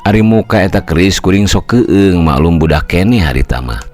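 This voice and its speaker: An older man, a storyteller, narrating a folktale in a calm, unhurried and formal way. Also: deep voice. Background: faint music.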